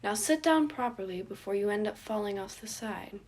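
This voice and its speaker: A wry older male guardian played by a woman, composed and formal. The phrasing is measured and gently teasing, in the manner of a storybook character. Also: mid-range voice, animated, close to an everyday voice.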